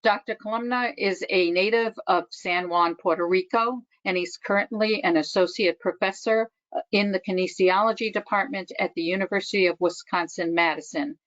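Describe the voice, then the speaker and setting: A woman's voice: medium pitch, normal speed, a bit bright, no room sound. A woman serving as training coordinator, politely and formally introducing a webinar presenter. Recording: dry, muffled webinar audio.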